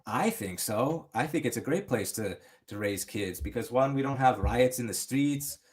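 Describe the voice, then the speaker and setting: A man's voice: mid-range voice, animated, moderate pace, darkish tone. Rambling man telling a long story. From United States. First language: English. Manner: rambling, many restarts and "you know". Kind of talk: casual chat.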